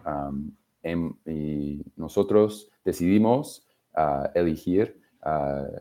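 Slow, halting man with a deep voice. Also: mellow. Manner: halting, searching for words, many fillers. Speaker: singer-songwriter guest. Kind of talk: interview answers.

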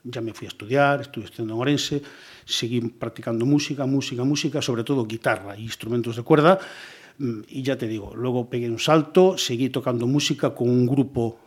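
Guy, middle-aged, a bit husky, chatty radio guest, keeps adding "eh?" and "si si si". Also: medium pitch, fairly quick. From Galicia, Spain. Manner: expansive, tags phrases with "¿eh?" and repeated "sí, sí". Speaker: guest, police commissioner. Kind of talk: casual radio interview chat.

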